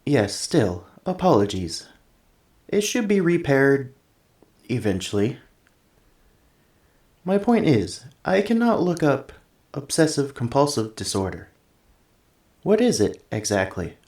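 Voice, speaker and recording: A man's voice: medium pitch, big pitch swings, takes it slowly, long pauses, darkish tone, echoey room. A man playing a polite, earnest android assistant in an ASMR roleplay, speaking formally and precisely through a robotic voice effect. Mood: politely curious. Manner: formal, precise, literal-minded phrasing. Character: polite, earnest male android tenant assistant, gentle and curious. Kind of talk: ASMR roleplay, scripted one-sided conversation.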